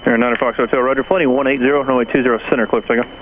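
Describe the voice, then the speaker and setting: A man's voice: medium pitch, steady tone, rapid-fire. A man working as an airport tower controller, giving clipped instructions over an aviation radio. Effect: aviation radio transmission. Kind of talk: rapid clipped radio air traffic instructions. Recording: noisy air traffic radio audio.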